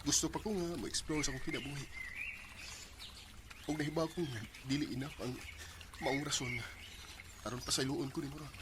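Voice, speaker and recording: An actor playing a remorseful young ex-boyfriend pleading for another chance in a radio drama, with a Cebuano Filipino accent. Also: male voice, a little high, fairly even intonation, brisk, long pauses, natural voice. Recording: very noisy radio drama track.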